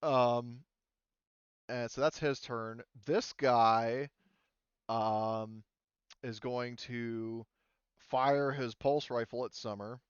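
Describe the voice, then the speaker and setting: A smooth-voiced man narrates a tabletop game as game master. He talks in a casual, halting way, close and dry, though the sound is slightly dull and lo-fi. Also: mid-range voice, fairly even intonation, no echo.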